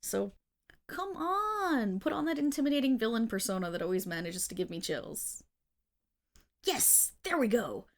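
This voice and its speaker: Woman acting a theatrical, gravelly lovestruck supervillainess. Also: fairly high pitch, expressive, normal speed. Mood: eager, proud. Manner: theatrical villain declaiming that flips into flustered, affectionate chatter. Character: bashful, lovestruck female supervillain rehearsing world domination. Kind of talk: ASMR roleplay audio drama.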